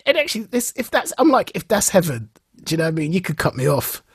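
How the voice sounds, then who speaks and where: A man co-hosting a podcast, talking casually and haltingly, repeating words for emphasis. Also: high voice, very animated, slightly rough, no echo, clean studio sound. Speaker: podcast co-host. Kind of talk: casual chat.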